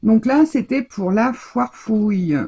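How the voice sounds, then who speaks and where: A woman from France shows off her latest craft finds in an easygoing, rambling chat full of fillers. Her voice has a gravelly, husky edge with a mellow, darker tone. She talks at a moderate, everyday pitch. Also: takes it slowly. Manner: chatty, rambling, many fillers. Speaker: craft vlogger. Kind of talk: casual haul video chat.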